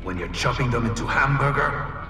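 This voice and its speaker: A man's voice: medium pitch, animated, fairly quick, vocal fry. An actor playing a cocky, cynical mercenary swordsman in a video game, mocking and philosophical, with a theatrical drawl. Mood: goading, intense. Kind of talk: scripted game acting. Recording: echoey, distant, long reverb, loud music.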